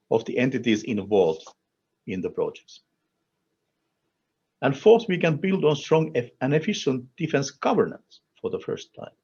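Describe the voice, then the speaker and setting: A man from Finland with a Finnish accent delivers a formal policy speech as an official, in deliberate, emphatic short phrases. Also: mid-range voice, darkish tone, slightly rough, muffled recording. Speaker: European Commission official speaking at a conference.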